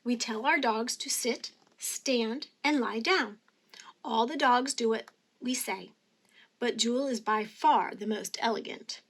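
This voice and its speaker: A woman's voice: a little high, measured pace, a bit bright. A woman reading a picture book aloud expressively for young children, with careful, teacherly phrasing. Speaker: storybook reader.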